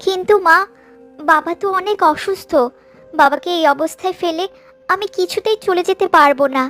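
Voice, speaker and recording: High-voiced, devoted young daughter. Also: female voice, moderate pace, fairly bright tone, faint music behind. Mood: sad pleading. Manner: tender, pleading.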